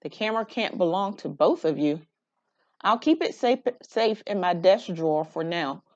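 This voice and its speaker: A woman voicing a calm, sensible woman schoolteacher in a storybook, measured and gently firm. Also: on the low side, highs cut off, lightly acted.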